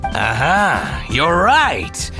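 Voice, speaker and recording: An actor voicing a spiteful merchant in a video game, scornful and gloating. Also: male voice, on the high side, huge pitch swings, extremely slow, exaggerated voice. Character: spiteful middle-aged male merchant, grudging. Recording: game audio with music.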